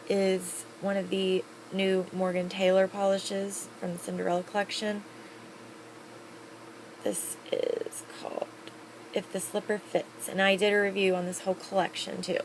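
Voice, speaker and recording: A woman's voice: mid-range voice, flat delivery, measured pace, slight background noise, low-quality recording. Chatty upbeat woman reviewing products. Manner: chatty, upbeat, rambling with fillers. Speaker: beauty vlogger.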